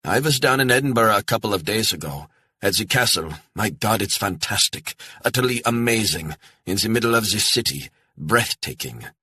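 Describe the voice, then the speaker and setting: A man's voice: lowish voice, expressive, normal speed, bright, forceful tone, breathy edge, no echo, pitched above the performer's own voice, exaggerated voice. A man voicing a friendly older retiree man in his sixties with an effusive, chatty manner. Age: 60s.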